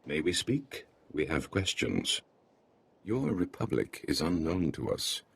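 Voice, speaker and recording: A man's voice: extremely low pitch, huge pitch swings, slow, vocal fry, fairly bright tone, slight background noise, exaggerated voice. An actor voicing a wary, earnest alien man in a video game, with simple, formal phrasing. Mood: curious, wary.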